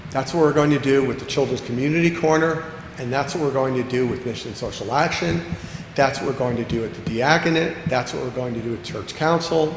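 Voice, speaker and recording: A man preaching a sermon as pastor, earnest, slowing down to stress key phrases and repeating lines for emphasis. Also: mid-range voice. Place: church sanctuary. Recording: echoey church hall with long reverb, faint background noise.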